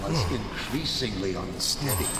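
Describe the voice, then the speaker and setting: An actor playing a scheming nobleman in live gothic radio theater, falsely courteous and sly, with theatrical, deliberate phrasing. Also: on the low side, lively intonation. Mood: brooding narration. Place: large hall before a live audience. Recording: echoey hall, live stage, noisy background.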